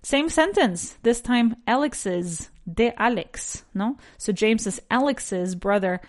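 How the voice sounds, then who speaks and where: A woman with a nasal voice teaching an English lesson. She repeats each sentence slowly and switches between English and Spanish. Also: mid-range voice. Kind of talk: bilingual language lesson.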